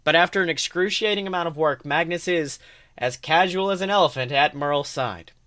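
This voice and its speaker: A man with a fairly high voice reads fan fiction aloud as a podcast host. His narration is expressive. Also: brisk.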